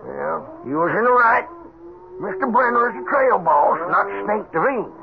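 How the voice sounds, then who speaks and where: An actor playing a folksy old cowhand sidekick with an American Western accent in an old-time radio western. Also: male voice, very animated, normal speed, full character voice. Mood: confiding. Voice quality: creaky, high-pitched. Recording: noisy old radio broadcast, narrow band.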